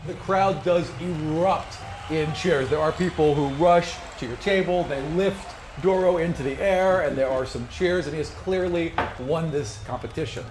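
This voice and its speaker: A man running a tabletop game as game master, improvising narration in an animated, jokey way and acting out reactions. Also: on the high side, moderate pace, few pauses, background noise. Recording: echoey room, music behind.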